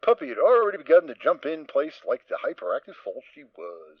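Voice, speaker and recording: A man narrating a fan audio drama in an expressive storytelling manner. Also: a little high, brisk, no room sound. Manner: expressive reading. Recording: dull, dry lo-fi recording.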